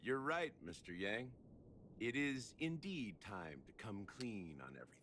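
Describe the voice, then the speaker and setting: An actor playing a sly, secretive mastermind in a video game, speaking in a measured, theatrically courteous way. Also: male voice, fairly low pitch, sing-song, slight background noise. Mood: sly, formal. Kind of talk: scripted game dialogue. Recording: loud game music underneath.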